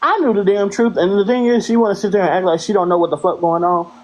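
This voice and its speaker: A woman with a deep voice, a live-stream guest talking bluntly and indignantly, with plenty of profanity. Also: fast, rough recording. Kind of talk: heated live-stream talk.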